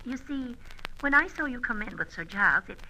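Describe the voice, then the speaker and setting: Bright, brassy, flirtatious American dame. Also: female voice, fairly high pitch, expressive, fairly quick. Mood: teasing. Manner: brash, teasing, slangy. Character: sassy, flirtatious red-headed American female rival spy. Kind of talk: old-time radio drama acting. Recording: noisy old radio recording, very bright.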